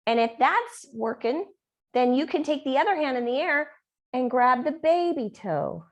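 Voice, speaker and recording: A woman teaching a yoga class and guiding poses step by step. She is losing her voice and keeps clearing her throat. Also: sits high, sing-song, normal speed. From Reno area, United States. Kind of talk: guided yoga class instruction.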